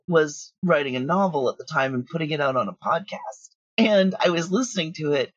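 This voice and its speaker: A woman's voice: low voice, breathy edge, dry recording, muffled recording. A woman chatting casually as an interview guest, telling stories with plenty of asides. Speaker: guest, audio drama creator.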